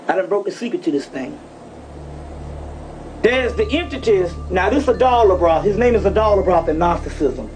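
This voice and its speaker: A man's voice: sits high, darkish tone, slight background noise. A man giving a fiery, informal lecture to a live audience, preaching emphatically and repeating phrases, often with profanity. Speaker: lecturer on esoteric teachings. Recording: distant live lecture recording, music over it. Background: music.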